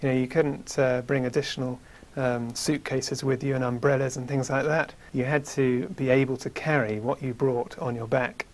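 A man in his thirties with a British accent, a guest in a studio interview, telling about his travels in a measured way with many fillers, on a lapel mic. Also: medium pitch, fairly even intonation. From United Kingdom. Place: small studio with curtain backdrop.

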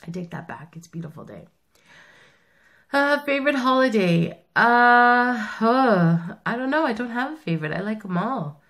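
A woman's voice: on the high side, expressive, moderate pace, a bit bright. A middle-aged woman whose first language is Italian, chatting casually and rambling through a tag video while sick, recorded on a webcam in a small room. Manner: chatty, rambling, self-correcting. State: sick, rough voice. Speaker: vlogger.

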